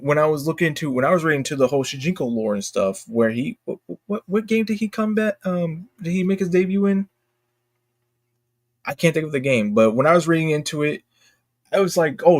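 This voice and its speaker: A man's voice: a little high, dry recording, studio quality. A man hosting a casual livestream, chatting informally and emphatically with strong opinions and slang like dude and bro. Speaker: livestream host. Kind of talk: casual livestream chat about games.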